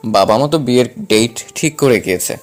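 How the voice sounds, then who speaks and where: A flustered young man played by a male actor in an audio drama. He is cheeky yet timid, the younger brother of the family, speaking at a middling pitch over background music. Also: fairly quick, natural voice. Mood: casual, polite. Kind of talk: acted audio story dialogue.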